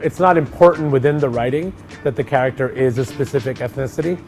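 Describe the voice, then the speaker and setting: A middle-aged man in casual conversation, an actor talking loosely and relaxed, with many fillers and restarts. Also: medium pitch, fast, creaky, faint music behind. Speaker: actor co-hosting a chat.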